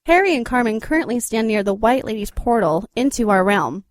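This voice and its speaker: A woman reading scripted story narration for an audio drama in a measured, expository way. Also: fairly high pitch, lively intonation, a little hiss or hum. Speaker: recap narrator.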